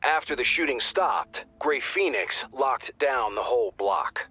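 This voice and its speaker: A wisecracking squad agent. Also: male voice, fairly high pitch, a little slow, vocal fry, husky, full character voice. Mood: wry. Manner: dry humor, lively delivery. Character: wry, joking male squad agent.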